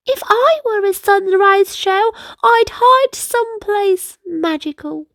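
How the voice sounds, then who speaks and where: A woman's voice: very high voice, takes it slowly, fairly bright tone, no room sound, voice pushed up, full character voice. A woman voicing a small, dreamy, cheerful little brother in a storybook, in a childlike sing-song manner. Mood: dreamy, eager.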